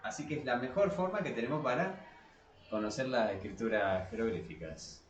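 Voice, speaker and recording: A man's voice: mid-range voice, animated, normal speed, a little hiss or hum. A man with an Argentine Spanish accent hosting a casual podcast debate, explaining informally in a rambling, self-correcting way. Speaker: podcast host. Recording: echoey, reverberant room.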